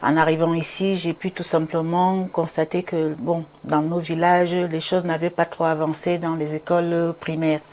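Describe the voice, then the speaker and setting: A woman from Cameroon, founder of an association, speaking as a guest on a talk show in a measured way with fillers. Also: low voice, little pitch movement, darkish tone, slight background noise.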